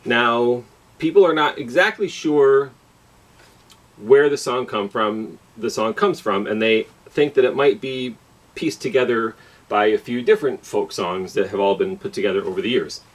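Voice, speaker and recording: A man's voice: mid-range voice, normal speed, far from the mic. A man hosting a children's storytime, explaining things simply and often rephrasing or repeating for young listeners. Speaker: library storytime host and singer.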